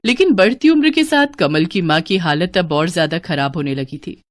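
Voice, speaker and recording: A woman's voice: fairly low pitch, expressive, moderate pace, no echo, clean studio sound. A woman narrating a scripted moral story as a storyteller.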